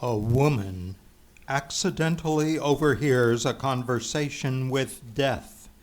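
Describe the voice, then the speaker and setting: A man as a sinister, mysterious radio thriller narrator. He speaks slowly and portentously over loud keyboard music. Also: mid-range voice, natural voice. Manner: slow, portentous, dramatic.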